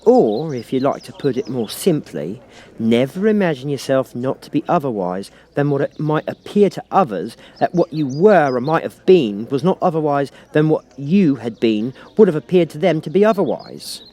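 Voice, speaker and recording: A man performs an old storybook Duchess, pitched up and swooping widely. She is cloying and pompous, forever moralizing, and stretches out her exclamations with clingy affection. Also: big pitch swings, moderate pace, mellow. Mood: pompous, pleased. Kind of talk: audiobook character voice.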